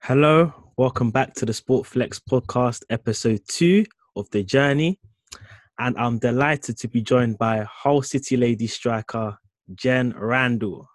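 A man hosts a sports podcast in a laid-back way, stumbling through his questions with likes and sos. His voice sits at a middling pitch. The sound is dry and close. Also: measured pace, no echo. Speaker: podcast host. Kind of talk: casual podcast interview.

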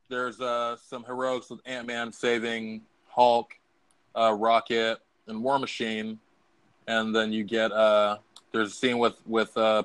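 A man's voice: medium pitch, a bit bright, no room sound. A man hosting a podcast, chatting casually and informally about movies with some swearing. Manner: informal, profane. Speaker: podcast host. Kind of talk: casual movie review chat.

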